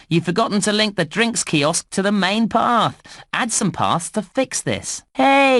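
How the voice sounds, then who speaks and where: A man's voice: fairly high pitch, fairly bright tone, no room sound, clean studio sound. A man voicing a cheerful, encouraging park guide in a children's game, with exaggerated, enthusiastic, instructional delivery. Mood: playful concern. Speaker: voice actor narrating game instructions. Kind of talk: scripted children's game narration.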